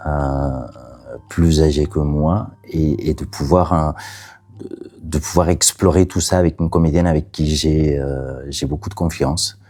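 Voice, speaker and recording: Man, native Catalan speaker, very low pitch, mellow dark tone, halting speech, soft trailing endings. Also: fairly even intonation. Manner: hesitant, many fillers and restarts. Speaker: playwright. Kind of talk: interview about his play.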